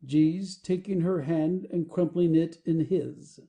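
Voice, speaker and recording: A woman's voice: lowish voice, takes it slowly, dark tone. A woman giving scripted narration, reading out the stage directions of an audio play.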